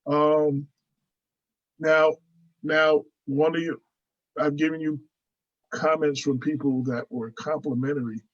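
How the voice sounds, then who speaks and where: Halting male radio host, dry dull sound. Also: on the high side, flat delivery, no echo. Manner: halting, reads listener comments aloud. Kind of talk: interview questions.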